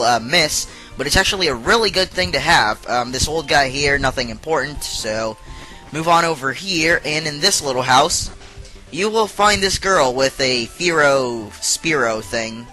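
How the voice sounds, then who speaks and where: Bright-voiced young man with a cold. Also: fairly high pitch, animated, normal speed, faint music behind, poor audio. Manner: chatty, rambling with many fillers. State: sick, voice partly lost. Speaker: let's play host. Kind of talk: casual game commentary.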